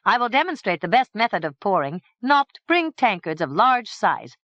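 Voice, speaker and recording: A fastidious old knight states his wishes slowly and particularly, as a woman reader puts him on. His voice rises and falls in a lively way. The recording is dull and dry. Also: mid-range voice, dry recording, voice pushed up. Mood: fussy. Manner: fussy, slow, particular.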